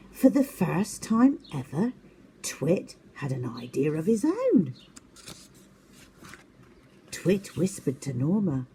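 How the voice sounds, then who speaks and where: A woman's voice: deep voice, gravelly, dark tone, a little hiss or hum. A woman narrating a children's story expressively, as a teacher does at story time.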